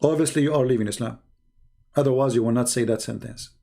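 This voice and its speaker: Gravelly guy, mocking and sarcastic, stops and starts a lot, desk mic. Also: medium pitch, fast, dark tone. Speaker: channel host. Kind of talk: mocking livestream commentary.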